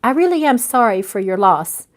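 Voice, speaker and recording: A woman voicing a compassionate, inquisitive stranger who gently encourages confidences in a romance novel. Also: fairly high pitch, expressive, moderate pace, lightly acted. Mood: sympathetic, curious. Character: kind, probing, compassionate stranger with past heartache.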